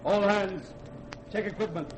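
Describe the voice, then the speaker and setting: Echoing, high-voiced military male bosun. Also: measured pace. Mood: alert, clipped. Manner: clipped, military. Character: dutiful, barking male spaceship bosun. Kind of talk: 1950s radio drama acting. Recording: echoey, noisy 1950s radio recording.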